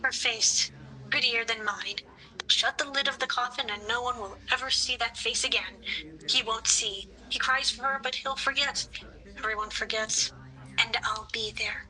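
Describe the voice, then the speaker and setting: Earnest, highish-voiced bereaved sister, acted by a woman. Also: fairly even intonation, moderate pace, sharp tone, poor audio. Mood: brooding, jealous. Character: young woman, bereaved sister, consoling yet secretly jealous. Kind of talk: 1930s radio horror drama acting.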